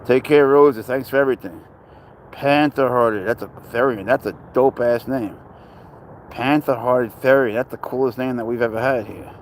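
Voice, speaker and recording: A man's voice: mid-range voice, moderate pace, slight background noise. Chatty man livestreaming outdoors. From Spain. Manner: chatty, rambling, answers chat questions aloud. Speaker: livestream host. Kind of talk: casual livestream chat reading viewer comments.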